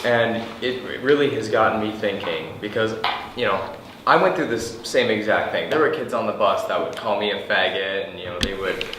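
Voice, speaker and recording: A man speaking earnestly as an actor in a group discussion, haltingly, repeating words and restarting. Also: fairly low pitch. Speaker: actor at a table read. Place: indoor room with a group. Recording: distant, very echoey room, noisy.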